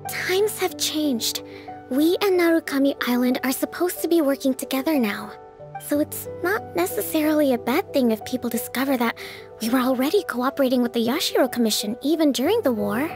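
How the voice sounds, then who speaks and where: A woman voicing a calm, gentle young leader in a video game, reassuring in tone. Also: sits high, brisk, over music.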